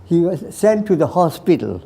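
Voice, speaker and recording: A man in his nineties from Burma, slowly telling his life story with hesitant pauses and repeated phrases. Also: a little high, very animated, creaky, dark tone, breathy edge. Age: 90s. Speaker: veteran recounting his life. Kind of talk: slow personal storytelling.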